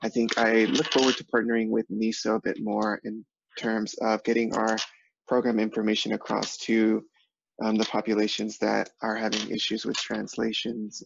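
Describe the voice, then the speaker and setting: A man speaking up in a work meeting on a conference call, with halting, formal phrasing. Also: lowish voice, vocal fry. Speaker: human services administrator. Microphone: remote conference call. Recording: dull, slightly noisy conference call.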